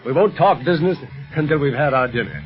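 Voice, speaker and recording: Guy as calm genial secret agent boss in 30s radio drama, dull vintage sound. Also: fairly high pitch, fast talker, close to an everyday voice. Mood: genial, assured. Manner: reassuring, genial, authoritative. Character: calm, confident, kindly adult secret agent and leader. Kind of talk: 1930s radio adventure serial acting. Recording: muffled old radio recording with music.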